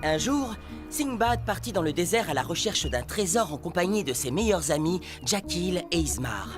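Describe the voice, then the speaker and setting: A woman's voice: on the low side, very animated, moderate pace. A woman voicing a cheerful, grandiose pirate genie who narrates stories in a cartoon. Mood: theatrical, inviting. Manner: theatrical storytelling. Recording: loud music behind the voice.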